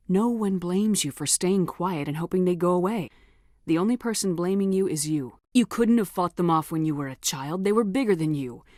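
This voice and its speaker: A woman's voice: fairly low pitch, darkish tone, no echo, studio quality, lightly acted. A woman playing a steely but compassionate military starship commander in a video game, speaking in a firm, direct and controlled way. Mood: reassuring, insistent. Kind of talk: scripted video game dialogue acting.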